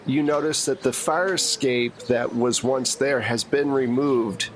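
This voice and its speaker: A man acts as game master for a tabletop roleplaying session. He narrates scenes at a slow, deliberate pace in a medium-pitched voice. His delivery is casual, with joking asides.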